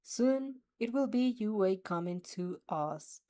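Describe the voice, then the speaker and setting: A woman voicing a cold, calculating male mafia boss with a low, slow, measured delivery. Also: animated, warm tone. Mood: menacing calm.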